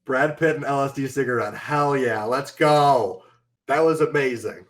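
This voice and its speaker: A man co-hosting a casual movie podcast, excitable and jokey. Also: mid-range voice, measured pace. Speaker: podcast co-host.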